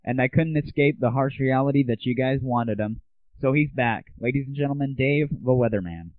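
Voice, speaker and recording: A man's voice: medium pitch, moderate pace, dry recording. Male host, brash and crude, casual monologue, dry, tinny lo-fi.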